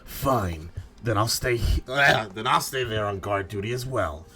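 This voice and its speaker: A man's voice: lowish voice, lively intonation, unhurried, sharp tone, soft background music. A man hosting a group voice-acting game stream, chatting casually with friends and reading out game dialogue.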